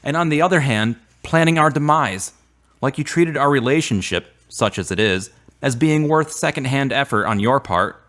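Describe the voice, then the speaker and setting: A man playing an earnest, devout young man in a radio drama, with measured, formal, preachy phrasing. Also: mid-range voice, lively intonation, a bit bright, lightly acted. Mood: hurt, accusing.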